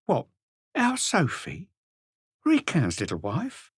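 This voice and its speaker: A man's voice: medium pitch, expressive, normal speed, fairly bright tone, dry recording, studio quality, voice pushed up, natural voice. A man voicing a plump, gossipy middle-aged woman who runs a café, in an audiobook reading. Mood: surprised, chatty. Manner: chattering, scornful, indignant.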